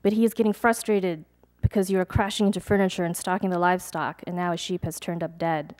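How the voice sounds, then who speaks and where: A young adult woman, a poet, reading her poems aloud at a live event, measured and deliberate, with chatty, hesitant asides between pieces. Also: fairly low pitch, steady tone, darkish tone. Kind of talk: poetry reading with casual asides to an audience.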